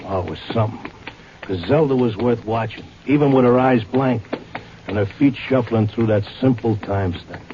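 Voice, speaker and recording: A man's voice: mid-range voice, normal speed, background noise, close to an everyday voice. Man playing streetwise racetrack tipster, dull old radio sound. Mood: wistful narration. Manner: slangy, streetwise Broadway wise-guy talk. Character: streetwise horse-race handicapper, devoted husband, stubborn and obsessive. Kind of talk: vintage radio drama acting.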